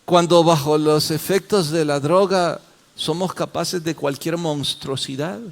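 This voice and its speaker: Male pastor teaching a class: fairly high voice, unhurried, quizzes listeners by name. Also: steady tone, vocal fry. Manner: questions the class and calls on students by name; slow, deliberate explanations. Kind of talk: interactive Bible study.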